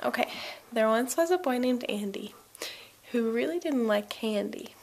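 A woman's voice: on the high side, animated, moderate pace. A woman in her twenties chatting casually to a handheld camera, rambling and giggly. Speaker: vlogger. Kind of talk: casual vlog chat. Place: car, then home kitchen. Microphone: handheld camera at arm's length. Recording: noisy handheld camera audio.

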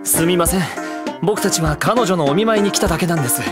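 A man's voice: sits high, over loud music, lightly acted. Man acting courteous, composed anime young man. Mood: polite, reproving. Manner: polite, measured, mildly scolding. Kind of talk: anime audio drama acting.

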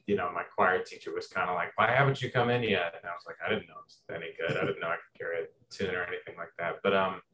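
Young man from Indiana, low voice, halting guest, many restarts, faint room hum behind. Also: fairly even intonation, pushed and bright, low-quality recording. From Southern Indiana. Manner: hesitant, many restarts and fillers. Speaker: guest composer. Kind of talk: casual interview.

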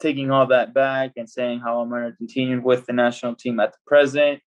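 A man's voice: medium pitch, flat delivery, brisk. A young man, a footballer from El Salvador, talking casually as a guest on a video-call interview, rambling with many restarts. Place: small home room. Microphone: laptop video call.

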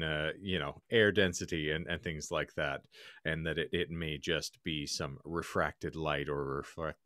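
A man's voice: low voice, fairly bright tone, no echo. A man co-hosting a casual podcast, bantering in a dry, hesitant way with mock-formal phrasing. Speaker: podcast co-host. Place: indoor home room, remote video call.

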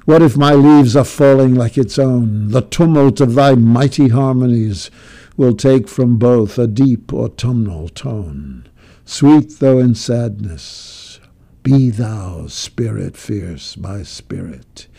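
A man giving a slow, grave, declamatory reading of a poem, swelling and then dropping to a hush. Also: mid-range voice, creaky. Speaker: poetry reader. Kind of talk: dramatic poetry recitation.

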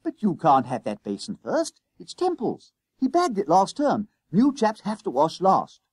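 Bossy storybook schoolboy, acted by a man: brisk, indignant, scolding, raised pitch, very animated. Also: husky, no room sound, clean studio sound, exaggerated voice. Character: bossy, indignant old-hand schoolboy, keen on rules.

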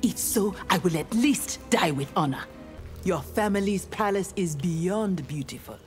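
Low-voiced, defiant ninja warrior. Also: female voice, lively intonation, unhurried. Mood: composed. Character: defiant, honorable armored female ninja warrior. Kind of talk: scripted video game dialogue. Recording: music behind the voice.

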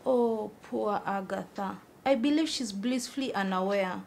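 A woman's voice: medium pitch, a little slow, a little mellow. A woman playing a curious, concerned young student in a drama. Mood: surprised, concerned. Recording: clearly noisy background with clicks.

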